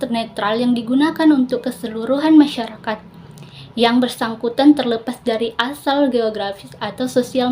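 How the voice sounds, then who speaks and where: A woman's voice: on the high side, a little mellow. A young adult woman, a student giving a scripted presentation with explanatory asides. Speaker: university biology student presenting an assignment.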